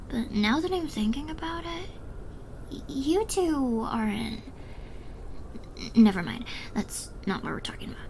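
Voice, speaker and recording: A woman's voice: a little high, gravelly, a little hiss or hum, full character voice. A young woman playing a drunk, giddy, smitten rival in an ASMR roleplay, rambling and stammering as she trails off. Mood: rambling, hesitant.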